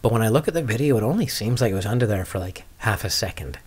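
A man's voice: fairly low pitch, lively intonation, quick pace, husky. Wry, casual man chatting. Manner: wry, dry humour. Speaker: skating podcast host. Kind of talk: casual rambling chat, answering viewer questions.

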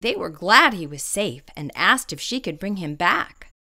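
A woman storyteller reading a children's picture book aloud, expressive and carefully phrased. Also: on the low side, unhurried.

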